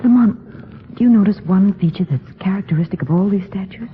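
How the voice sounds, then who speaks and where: A woman's voice: mid-range voice, brisk, lightly acted. An actress playing a nervous, observant female sidekick in a 1940s radio drama, with dramatic urgency. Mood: nervous, intrigued. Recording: phone-like, noisy 1940s radio recording.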